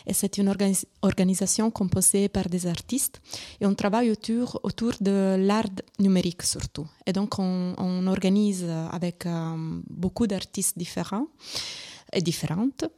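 Woman, Italian accent, non-native French speaker, guest in a live radio interview, hesitant delivery full of fillers, mid-pitched voice. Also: fairly even intonation, normal speed, mellow. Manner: hesitant, many fillers and restarts. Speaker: guest from an arts organisation.

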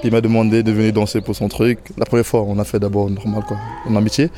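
Brief: 18-year-old man, low flat voice, halting casual answers. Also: music in the background. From Belgium. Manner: relaxed, halting, many fillers. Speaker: dancer.

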